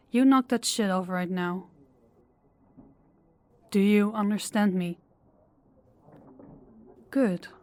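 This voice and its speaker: A woman playing a composed, stern female crime boss in an audio drama, speaking deliberately and with authority. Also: medium pitch, a little mellow, lightly acted. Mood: stern, irritated. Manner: authoritative, deliberate, clipped orders. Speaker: voice actor. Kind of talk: audio roleplay drama acting.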